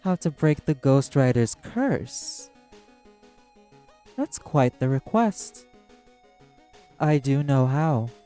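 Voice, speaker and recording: A man acts out an ancient, haughty demon casino boss in an audio roleplay. The voice is soft-edged and dark, drawling in measured, theatrical phrases over clearly audible background music. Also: mid-range voice, voice pushed up, close to an everyday voice. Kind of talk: scripted audio roleplay monologue.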